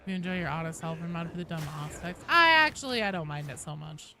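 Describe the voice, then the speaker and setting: A woman's voice: lowish voice, animated, quick pace, pushed and bright, slight background noise. A woman streaming a video game with casual, playful, foul-mouthed commentary and goofy asides into a close mic. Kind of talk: casual live gameplay commentary.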